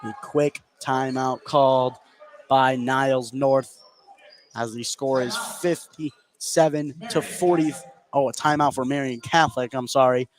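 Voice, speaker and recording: An American man doing excitable live play-by-play basketball commentary for radio, narrating the action in running phrases. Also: medium pitch, measured pace, poor audio. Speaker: play-by-play sports announcer.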